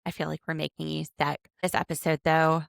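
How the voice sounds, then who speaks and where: A woman in her thirties hosting a casual podcast from home, chatty and quick to laugh as she picks apart a reality show. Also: sits low, level tone, creaky, no echo, studio quality. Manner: chatty, laughs often, overanalyzing. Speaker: podcast host, attorney.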